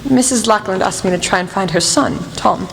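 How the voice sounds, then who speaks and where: A woman acting in an amateur radio play as a shrewd lady detective, speaking in a measured, frank and formal way. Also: medium pitch, creaky, lightly acted. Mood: probing. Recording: echoey hall with faint noise.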